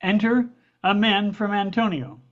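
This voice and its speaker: A woman's voice: mid-range voice, unhurried. A woman reading out stage directions in a scripted play reading. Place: online video call reading. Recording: muffled video call audio.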